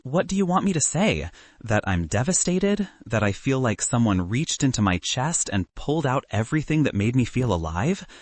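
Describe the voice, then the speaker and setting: A man's voice: medium pitch, darkish tone, dry recording. A man voicing a heartbroken, principled young male student in an audiobook, earnest and brooding.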